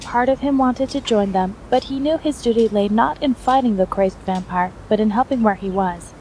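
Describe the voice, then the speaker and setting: A woman's voice: fairly high pitch, moderate pace. A woman reading a scripted story aloud as narrator. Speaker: fan fiction reader, narrator. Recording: noisy hobby recording, steady background noise.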